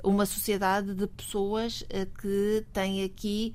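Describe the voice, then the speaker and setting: A woman professor with a European Portuguese accent, a guest on a radio discussion, speaking in a measured, hesitant way with many pauses and fillers. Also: mid-range voice, level tone, a little mellow, clean tone. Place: radio studio.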